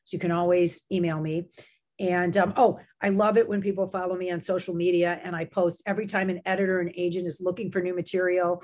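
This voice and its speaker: A woman's voice: on the low side, darkish tone. Chatty middle-aged woman on a video call. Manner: chatty, enthusiastic, lots of fillers and restarts. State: slight sore throat. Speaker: guest author and writing professor. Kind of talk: casual video-call interview.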